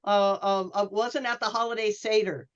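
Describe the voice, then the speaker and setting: A woman speaks as a panelist in a casual discussion of history. Her pitch is average and her delivery hesitant, with frequent halts as she explains. The recording is dull and lo-fi. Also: steady tone, moderate pace. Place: remote call for a virtual convention. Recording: dull lo-fi sound.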